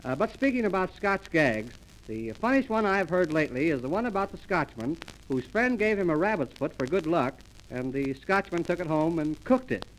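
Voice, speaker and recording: Male radio comedy host, high-pitched, mock-formal, on an old 1930s transcription disc. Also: sing-song, normal speed, a little hiss or hum, low-quality recording. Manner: mock-formal announcer delivery.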